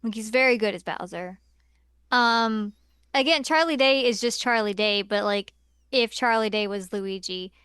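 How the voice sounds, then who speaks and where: A woman's voice: a little high, normal speed, a bit bright, clean tone, no room sound, studio quality. A woman co-hosting a podcast in casual film-review chat, talking conversationally and peppering sentences with "like". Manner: conversational, filler-heavy with frequent "like". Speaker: podcast co-host.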